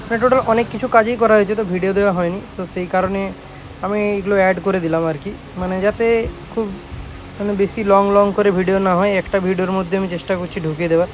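A man's voice: high-pitched, slight background noise, poor audio. A man casually showing off handmade products for a vlog, repeating himself and often checking that viewers follow. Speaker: speaker maker presenting shop's work.

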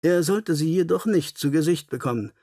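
A self-assured Victorian detective , read by a male audiobook narrator, courteous and keen. He speaks formally and briskly in a slightly high, husky voice, recorded dry. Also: expressive, mellow, no echo. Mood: decisive.

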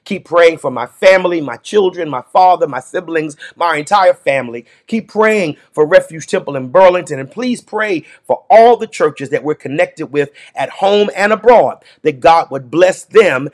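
Fervent Southern pastor, pitched a bit high. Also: male voice, lively intonation, normal speed, few pauses. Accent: Southern American. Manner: fervent, exclamatory, repeating praise phrases. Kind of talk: closing prayer and announcements. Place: small indoor home studio before a green screen.

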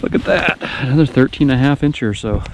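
A man in his forties giving casual running commentary while ice fishing outdoors on a frozen pond, his voice jumping up with excitement when fish bite, recorded on a body-worn action camera. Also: mid-range voice, quick pace. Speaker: fishing vlogger. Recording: loud wind noise on the mic.

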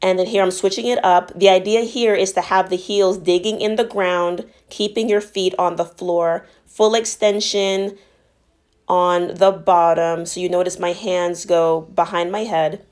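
A woman's voice: on the low side, fairly even intonation, a little slow, darkish tone. A woman narrating a workout as a fitness instructor, explaining each move in an encouraging, instructional way.

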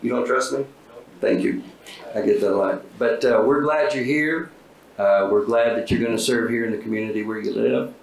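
A man at a school board meeting welcoming a new staff member in a relaxed, joking way with many fillers. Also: mid-range voice, normal speed, creaky, slight background noise. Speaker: school district official welcoming a new hire. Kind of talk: casual remarks at a board meeting. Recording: echoey meeting room.

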